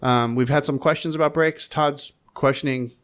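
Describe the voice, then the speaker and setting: A man's voice: a little high, steady tone, normal speed, fairly bright tone. A man hosting a podcast in an informal, wry, rambling way, chatting casually and reading out plugs. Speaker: podcast host.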